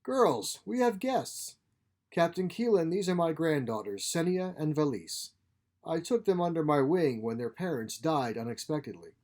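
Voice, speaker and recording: A man's voice: fairly high pitch, animated, normal speed, darkish tone, voice pushed up. A man voicing a genial grandfatherly scientist for a story, courteous, proud and theatrical in manner. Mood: warm, welcoming. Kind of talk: storybook character voice.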